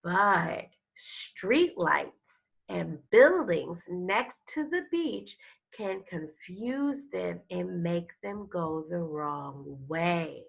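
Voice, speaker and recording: A woman teacher reading a picture book aloud to young pupils, expressive and instructive, pausing to explain and ask questions. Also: lowish voice, gravelly, husky. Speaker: elementary school teacher.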